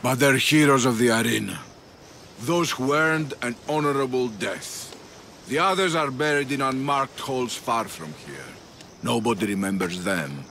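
A persuasive older arena patron in a game cutscene, measured and dramatic. His voice is bright and lively in pitch, his pace unhurried. Faint outdoor ambience sits behind him. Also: a little hiss or hum. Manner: measured, coaxing, dramatic pauses. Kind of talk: video game cutscene acting.

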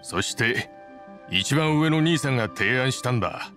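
A man's voice: medium pitch, expressive, normal speed, fairly bright tone, close to an everyday voice. A modest, dependable working man from an anime game played by a male actor, with a mid-range voice. He is casual and sincere, and there is faint music behind him. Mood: wistful. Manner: plain-spoken, casual, sincere.

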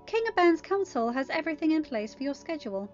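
A woman voicing a condescending, officious young woman of a royal court in a story reading, brisk and patronizing. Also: sits high, expressive, dull audio, faint music behind, voice pushed up.

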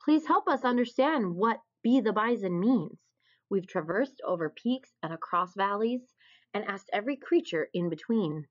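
Woman acting a curious young bison, storybook hero, eager, animated intonation, lo-fi sound. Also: medium pitch, moderate pace, natural voice. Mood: pleading. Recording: dull, lo-fi recording.

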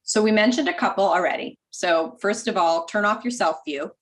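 A woman in her forties, a doctor guest on a video call, explaining practical tips in a clear, instructive way through wired earbuds. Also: medium pitch, brisk. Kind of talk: interview answers, practical tips. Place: home office with bookshelf.

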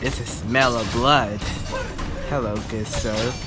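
A man's voice: mid-range voice, fairly even intonation. A young man on voice chat who hams it up with mock-dramatic lines while playing an online game. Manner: playful, mock-dramatic, slow and drawn out. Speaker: gamer on voice chat. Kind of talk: casual gaming chat. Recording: game music behind.

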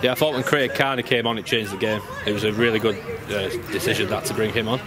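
A man's voice: fairly low pitch, fairly even intonation, fast. A man asking questions as a club interviewer after a football match, outdoors at a ground. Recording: outdoor stadium with crowd and traffic noise.

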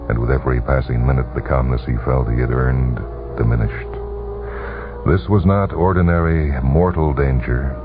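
An extremely deep-voiced man reads a dramatic short story on the radio. His delivery is measured and suspenseful, with a gravelly creak. The sound is narrow, like a phone line, on an old broadcast. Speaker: narrator. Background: music.

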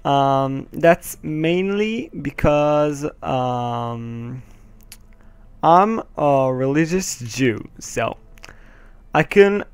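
Slow-talking Israeli teen with a smooth, dry voice. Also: male voice, medium pitch, no room sound. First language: Hebrew. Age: teens. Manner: hesitant, many ums, nervous laughs, swears when the game goes wrong. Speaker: gaming channel host. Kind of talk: casual gameplay commentary. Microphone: headset.

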